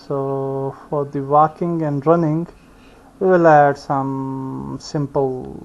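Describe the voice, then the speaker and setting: Man, software tutorial instructor, very slow halting delivery, thinking aloud, muffled lo-fi recording. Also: on the high side, gravelly. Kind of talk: unscripted step-by-step screencast tutorial. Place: indoors, screen-recording voice-over. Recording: dull lo-fi voice recording.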